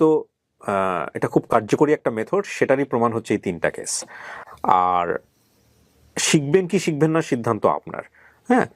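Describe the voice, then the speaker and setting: A man's voice: mid-range voice, dry recording. A middle-aged Bangladeshi man hosting an interview, speaking emphatically to guests and viewers and mixing in English words. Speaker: interview host. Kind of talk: conversational interviewing and addressing viewers.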